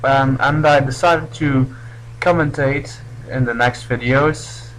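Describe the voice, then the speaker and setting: Young man from Belgium, non-native English, hesitant gameplay commentary, mid-pitched voice, faint hum behind. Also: steady tone, takes it slowly, fairly bright tone, low-quality recording. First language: not English. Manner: hesitant, restarts often. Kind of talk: casual let's play commentary.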